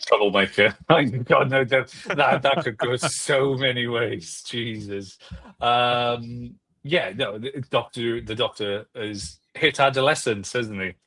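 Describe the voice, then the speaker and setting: Man, medium pitch, casual podcast panellist, blunt joking manner, dry close recording. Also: takes it slowly, bright, forceful tone, no room sound. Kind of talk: casual chat.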